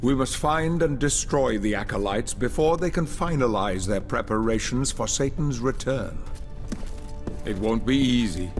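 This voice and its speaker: A man's voice: medium pitch, natural voice. Grave, scheming sorcerer lord played by a man, echoing hall. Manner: formal, measured, ominous exposition. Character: aged, cunning sorcerer lord, grave and calculating. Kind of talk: dramatic video game cutscene acting. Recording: reverberant hall, music underneath.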